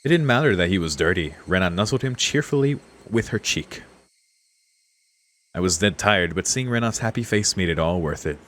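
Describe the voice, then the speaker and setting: A man's voice: low voice, expressive, normal speed, fairly bright tone. A man streaming a game, reading text aloud and adding casual, laid-back commentary. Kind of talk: casual let's play commentary and read-aloud.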